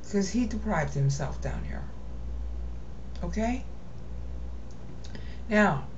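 A woman's voice: deep voice, mellow, dull audio, slight background noise. An American woman teaching Bible text over a screen recording, earnest and intense, checking in with "okay?" again and again. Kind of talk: screen-share Bible lesson.

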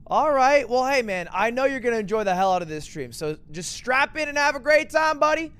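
A man's voice: very high-pitched, animated, a little fast, fairly bright tone, smooth, no room sound. A man in a playful, upbeat casual livestream chat, greeting a viewer.

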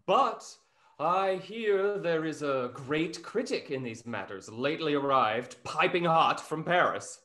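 An actor playing a witty, snarky young London gentleman in a stage comedy, wry and ornate, over a video call. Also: on the high side, lively intonation, takes it slowly, heavily acted. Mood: arch, teasing. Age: young adult.

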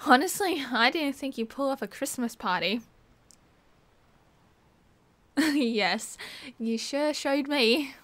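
A woman's voice: high-pitched, expressive, a little slow, fairly bright tone, no echo. A young woman with an Australian accent playing a cheerful, teasing partygoer in a casual ASMR roleplay, chatting in playful slang. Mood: playful, upbeat. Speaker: roleplay voice actor. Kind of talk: casual ASMR roleplay, one side of a chat.

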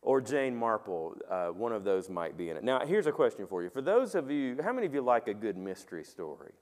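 Low-voiced pastor in a reverberant sanctuary. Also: male voice, big pitch swings, brisk. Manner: conversational, asks the congregation questions; soft, quiet when praying. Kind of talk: sermon with opening prayer. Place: church sanctuary, indoors. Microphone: headset mic. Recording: long reverb of a church hall.

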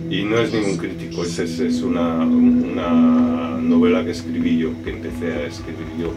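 A middle-aged man whose first language is French, answering interview questions in a halting way, with many restarts and fillers. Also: low voice, fairly even intonation, loud music behind the voice. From raised in France. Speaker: artist. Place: cluttered studio with computer and speakers. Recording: distant mic, audible room noise.

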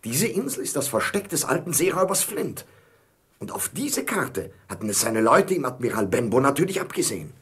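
Begeisterter, rauchig klingender Baron. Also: male voice, mid-range voice, sing-song, a little fast, a bit bright, slightly rough, heavily acted. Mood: excited, grand. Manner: grand, exuberant, emphatic. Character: enthusiastic, self-assured male English baron, adventurous. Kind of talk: German radio play acting.

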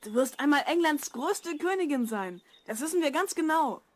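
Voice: high-pitched, animated, normal speed. An actor playing a curious, sympathetic twelve-year-old boy adventurer in a children's audio drama, inquisitive and earnest.

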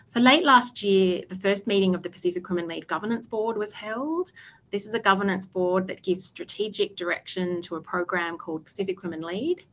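Aussie woman, 30s, measured panel talk on a video call, slightly low voice, bit muffled. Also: a bit bright, a little hiss or hum. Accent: Australian. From Australia, Western Sydney. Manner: earnest, measured, with occasional fillers. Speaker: panelist, government official. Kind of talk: prepared panel remarks. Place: indoor office. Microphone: video call webcam.

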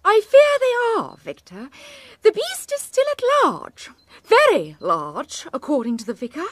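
A woman playing a genteel, easily alarmed lady in an animated video game, melodramatic and fluttery. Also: sits high, measured pace, exaggerated voice. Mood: fretful.

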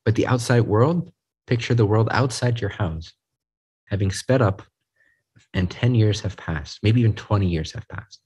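A man leading a guided group meditation, speaking in a soothing, unhurried way in short phrases with pauses. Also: lowish voice, darkish tone, breathy edge.

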